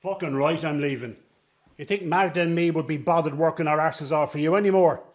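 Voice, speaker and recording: An actor playing a shady circus worker in a radio drama, brash, contemptuous and goading. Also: male voice, a little high, brisk, close to an everyday voice. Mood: scornful, angry. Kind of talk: naturalistic radio drama acting.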